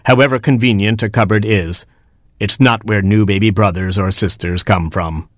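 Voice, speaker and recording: A man's voice: fairly low pitch, steady tone, normal speed, no room sound, studio quality, natural voice. A man voicing a proud, grouchy father rooster in a storybook, with pompous delivery. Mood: stern. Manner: pompous, declamatory. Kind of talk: storybook character voice.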